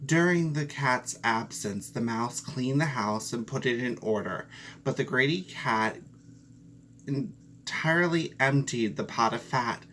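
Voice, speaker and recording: A storyteller reading a fairy tale aloud in a deliberate, expressive storybook manner. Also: medium pitch, a little hiss or hum. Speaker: storyteller, host. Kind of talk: storybook narration read aloud.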